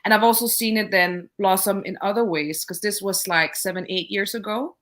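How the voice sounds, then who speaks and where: A woman from Denmark speaks as a guest on a video call, mid-pitched and unhurried. She talks in a thoughtful, halting way, full of fillers and restarts. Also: fairly bright tone. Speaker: guest entrepreneur. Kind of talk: casual interview conversation.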